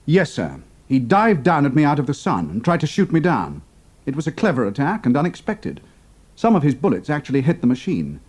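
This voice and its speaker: A young British airman, acted out by a male narrator, composed and formally respectful, with a husky edge and a widely moving, animated pitch. He speaks in clipped, earnest military phrases, as in an adventure audiobook. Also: fairly quick, full character voice. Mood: respectful, aggrieved. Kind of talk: dramatised audiobook character dialogue.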